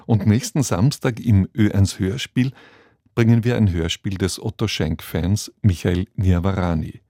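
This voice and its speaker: A man's voice: low-pitched, fairly even intonation, a little slow, dark tone, no echo, clean studio sound. A man reading a scripted programme announcement as a radio announcer.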